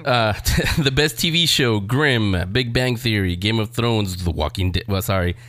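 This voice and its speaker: A man's voice: fairly low pitch, measured pace, a bit bright, no room sound, clean studio sound. A man hosting a casual interview show, chatting in a joking, wisecracking way.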